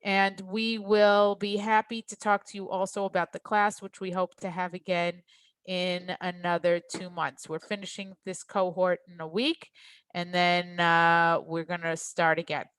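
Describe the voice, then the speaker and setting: A woman's voice: on the low side, level tone, measured pace, fairly bright tone, smooth, no room sound. A woman hosting an online webinar, making closing remarks in an appreciative, encouraging way. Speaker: webinar host. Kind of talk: warm closing remarks to attendees.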